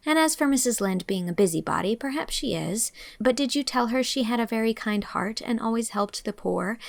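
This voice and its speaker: A woman voicing an earnest, dreamy young woman in a storybook, with an expressive, gently scolding manner. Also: fairly high pitch, gravelly, dry recording, pitched above the performer's own voice. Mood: indignant, animated. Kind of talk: audiobook character acting.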